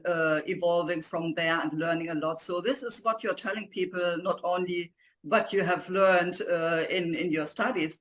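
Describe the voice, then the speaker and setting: Halting woman hosting an interview show. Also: lowish voice, a bit bright, low-quality recording. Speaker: show host. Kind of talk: interview introduction and questions.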